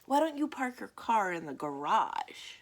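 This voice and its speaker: Woman doing an incredulous, questioning storybook child, very animated. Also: medium pitch, unhurried, noisy background. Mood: puzzled. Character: inquisitive, incredulous child questioning a friend's odd household. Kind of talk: children's picture book read-aloud.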